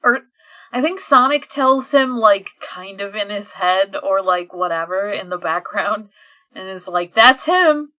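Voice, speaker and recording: Bright, forceful woman in casual chat. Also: mid-range voice, animated, a little slow. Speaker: podcast co-host. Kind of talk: casual chat, recapping a fan comic.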